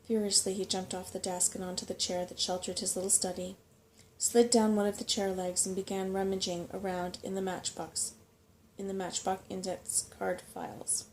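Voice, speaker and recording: Soft-spoken woman reading, creaky and mellow. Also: medium pitch, level tone, moderate pace, a little hiss or hum, rough recording. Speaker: storyteller reading a children's book. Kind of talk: soft-spoken ASMR bedtime story reading.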